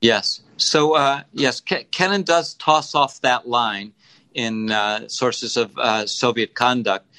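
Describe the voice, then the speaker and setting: A man answering as a policy guest in an interview, speaking formally and deliberately in halting phrases that he often repeats. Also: medium pitch, sharp tone, no room sound. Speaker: guest, government policy official.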